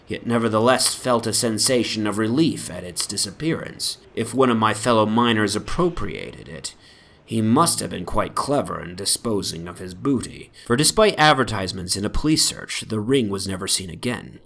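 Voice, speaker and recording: A man giving a solemn, brooding dramatic reading of a first-person horror story, in literary phrasing that often sinks into a hush. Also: fairly low pitch, expressive, moderate pace, creaky. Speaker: narrator, dramatic reader. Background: ambient soundscape.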